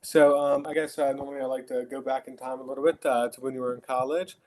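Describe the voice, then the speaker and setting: A man's voice: mid-range voice, fairly even intonation, fast, a little mellow. A man hosting a podcast, asking questions in a friendly, hesitant way with many fillers. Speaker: podcast host. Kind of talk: casual interview questions.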